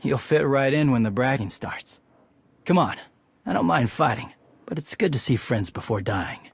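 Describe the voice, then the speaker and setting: Loyal warrior scout, husky, terse, stoic, game dialogue. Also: male voice, medium pitch, lively intonation, moderate pace, dry recording. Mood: frank, friendly. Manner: terse, matter-of-fact.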